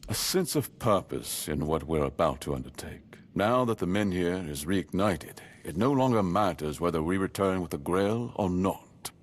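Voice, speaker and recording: An actor playing a solemn, duty-bound noble lord in a game, speaking in a measured, stately way. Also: male voice, deep voice, animated. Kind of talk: voiced game dialogue.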